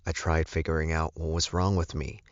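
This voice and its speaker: A man's voice: extremely low pitch, flat delivery, moderate pace, no echo, lo-fi sound. A man reading scripted first-person fiction as an audiobook narrator.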